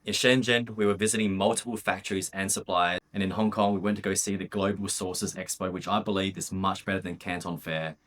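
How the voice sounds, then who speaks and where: A man's voice: deep voice, fairly even intonation, a little fast, few pauses, a bit bright. A young man from Australia narrating his own business vlog in a candid, upbeat, casual way, with the odd swear word. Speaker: vlogger and business owner.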